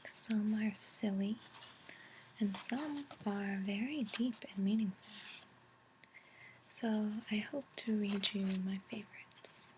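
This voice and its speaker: A woman speaking softly in a breathy near-whisper, gently and unhurriedly, as an ASMR host reading and chatting. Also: medium pitch, background noise. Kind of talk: soft-spoken ASMR reading and chat. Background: page turning, tapping, clicks.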